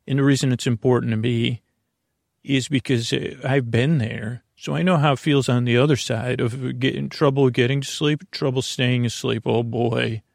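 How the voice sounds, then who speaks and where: Male sleep-podcast host, mid-pitched with plenty of vocal fry, halting and digressive bedtime monologue. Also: fairly even intonation, dry recording, studio quality. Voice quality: creaky. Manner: meandering, halting, trailing off mid-thought. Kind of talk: rambling bedtime monologue meant to lull listeners to sleep.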